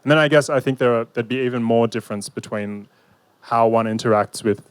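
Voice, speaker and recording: A man's voice: mid-range voice, fairly quick, clean tone, no room sound. A young man facilitating a panel outdoors, answering thoughtfully with many fillers and restarts into a handheld mic. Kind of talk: hesitant panel discussion. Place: outdoor park pavilion.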